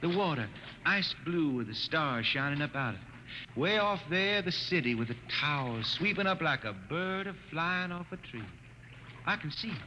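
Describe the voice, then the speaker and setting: A man's voice: a little high, lively intonation, normal speed, pushed and bright. An actor playing a blind, weary drifter spaceman and singer from the Ozark hills, speaking in a folksy rural drawl with a wistful, stubborn manner, in a 1950s radio drama. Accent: Ozarks rural American. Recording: muffled old radio broadcast with hiss.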